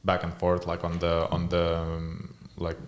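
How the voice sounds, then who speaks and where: A man's voice: deep voice, monotone, a little slow. A man from Lithuania with a Lithuanian accent, speaking English as a second language, who hosts a casual podcast interview and sometimes searches for words and jokes along. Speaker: podcast host.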